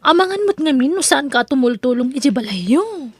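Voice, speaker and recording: A woman's voice: high-pitched, sing-song, normal speed, no room sound. A woman with an Ilocano accent playing a chatty, advising female friend in an audio drama. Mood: concerned, advising.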